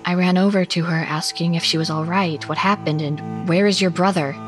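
A woman playing an anxious suburban narrator, telling a horror story in a measured, dramatic first-person style over music. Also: lowish voice, fairly even intonation, natural voice. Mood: alarmed. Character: anxious suburban woman recounting a frightening Christmas night. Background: background music.

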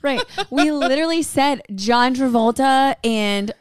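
A woman's voice: high-pitched, unhurried. A woman co-hosting a casual chat podcast, with a chatty, jokey, folksy manner. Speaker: podcast co-host.

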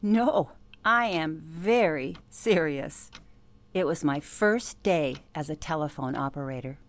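A woman acts as an elderly former telephone operator in a radio play. She speaks slowly in a fairly low voice, choosing formal, deliberate words. Her temper is composed and politely firm. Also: lively intonation, no room sound. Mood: earnest. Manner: measured, formal, deliberate phrasing. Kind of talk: radio drama interview acting.

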